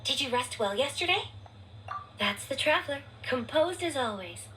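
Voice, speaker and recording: High-voiced, gracious young lady. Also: lively intonation, moderate pace, sharp tone, distant mic, slight background noise, poor audio. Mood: friendly, gracious. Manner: polite, encouraging. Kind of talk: video game voice acting.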